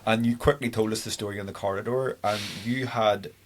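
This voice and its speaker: A man's voice: fairly low pitch, fairly even intonation, fairly quick. A man hosting a podcast, enthusiastic, stammering at the start of his sentences. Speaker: podcast host. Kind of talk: casual podcast chat.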